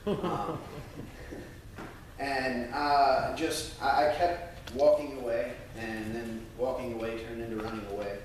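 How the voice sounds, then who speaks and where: American man, earnest church speaker, very roomy chapel sound, mid-pitched voice, picked up from a distance. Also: steady tone. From United States. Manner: halting, hesitant, earnest. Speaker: church member giving testimony and scripture reading. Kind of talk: personal testimony and scripture reading to a congregation. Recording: very echoey room, distant mic, faint noise.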